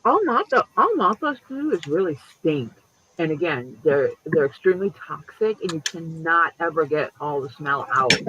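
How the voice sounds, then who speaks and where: A man in his late fifties chatting casually and profanely about collecting lunchboxes and boxes, his voice jumping up in pitch. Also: sing-song, moderate pace, bright, forceful tone, slight background noise. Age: 50s. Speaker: host. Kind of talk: casual chat. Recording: dull lo-fi stream audio.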